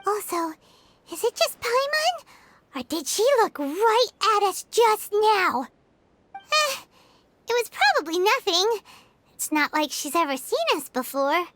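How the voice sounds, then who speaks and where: A woman playing a tiny, childlike fairy companion in a video game, squeaky, curious and expressive. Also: unhurried, no room sound, heavily acted. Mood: awed, curious. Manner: wondering, expressive. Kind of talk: video game character dialogue. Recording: game music behind the voice.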